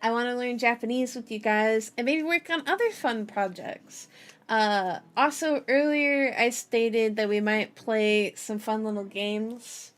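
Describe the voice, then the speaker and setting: A woman's voice: on the high side, a little slow. A young woman streamer chatting casually in a playful, silly, giggly manner with cutesy slang, like she is hosting a livestream introduction. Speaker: VTuber streamer, channel host.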